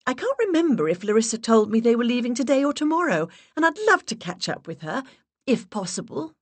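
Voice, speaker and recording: A woman's voice: very animated, brisk, no room sound. Woman putting on a giggly, gushing English flirt. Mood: gushing, flirty. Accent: English, exaggerated. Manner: high, breathy, fluttery and effusive.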